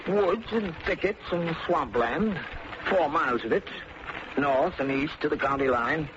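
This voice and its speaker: A dull, noisy vintage radio recording carries an actor as a male small-town bank manager. His voice sits on the high side, fretful and self-pitying, full of worry for his own standing. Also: a little slow, close to an everyday voice. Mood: worried, grumbling. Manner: fretful, worried. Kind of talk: old-time radio drama acting.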